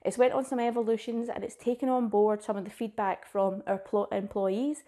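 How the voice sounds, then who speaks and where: A woman's voice: medium pitch, steady tone, brisk, dark tone. Scottish woman, 30s, tech presenter, chatty and keen, informal delivery, webcam in a small room. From Scotland. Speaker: cloud advocate presenting a conference session. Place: small indoor room with a plain wall.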